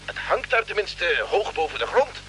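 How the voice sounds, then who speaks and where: A man's voice: sits high, big pitch swings, moderate pace, bright, forceful tone, exaggerated voice, low-quality recording. A male actor playing a dutiful spaceship crewman reporting to his captain in a radio play. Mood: tense reporting. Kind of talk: mid-century radio play acting. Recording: old radio recording with faint hum.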